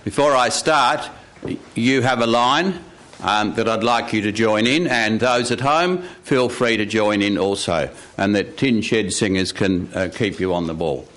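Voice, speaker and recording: A man telling a story to a live audience, with deliberate, dramatic phrasing and pauses. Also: lowish voice, a bit bright. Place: radio studio with live audience.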